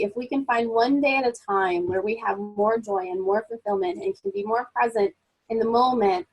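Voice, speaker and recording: A woman's voice: mid-range voice, poor audio. A woman presenting a motivational webinar as a life coach, encouraging and upbeat, sounding a little froggy as she gets over a cold.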